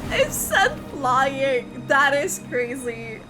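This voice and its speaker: A woman giving casual, excitable live commentary as she reacts to a video game. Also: high-pitched, very slow. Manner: excitable, exclamatory. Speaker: gaming content creator reacting to a playthrough. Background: game music.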